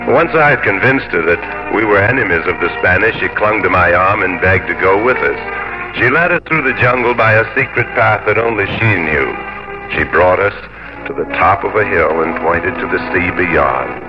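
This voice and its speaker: A low-pitched gallant Elizabethan sea captain spins his tale with gruff, earnest declamation. The old radio drama sound is muffled, with prominent music behind him. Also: lively intonation. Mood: solemn narrating. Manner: declamatory, measured storytelling. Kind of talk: 1950s radio drama acting, first-person narration.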